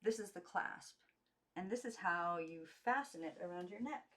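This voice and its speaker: A woman's voice: lowish voice, animated, moderate pace, fairly bright tone. Woman as bossy, know-it-all young girl. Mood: showing off. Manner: imperious, explaining, scornful.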